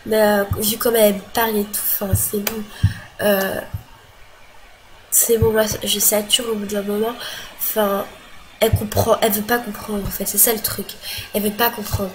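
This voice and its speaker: A woman's voice: medium pitch, level tone, normal speed, long pauses, a little hiss or hum, rough recording. A young woman venting to camera about an online dispute, agitated and full of fillers and restarts, with a cold. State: has a cold. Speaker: vlogger.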